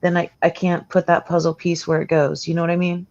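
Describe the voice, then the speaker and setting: A woman's voice: on the low side, level tone, fast, darkish tone. A woman guest in a casual livestream discussion, explaining herself carefully and questioning her own certainty. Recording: slightly dull streaming audio.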